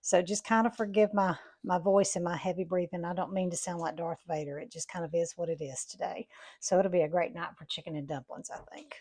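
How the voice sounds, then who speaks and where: A woman, hosting a home cooking lesson with a chatty step-by-step manner; her voice is raspy from allergies and she breathes heavily. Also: on the low side, steady tone, fairly quick, a little mellow. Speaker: home cooking channel host. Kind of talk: casual cooking demonstration. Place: home kitchen.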